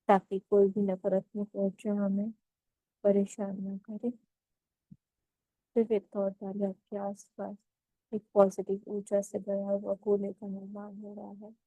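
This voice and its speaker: Female meditation instructor, relaxed dark timbre, close dry sound, unhurried phrases with pauses, gently instructive. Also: mid-range voice, dry recording, rough recording. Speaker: meditation guide.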